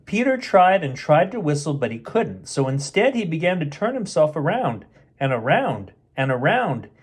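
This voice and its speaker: Guy reading a kids bedtime story, kinda high voice, super animated pitch, playful and chatty. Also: moderate pace, husky. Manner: playful, chatty, child-directed. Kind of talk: reading a picture book aloud with casual asides.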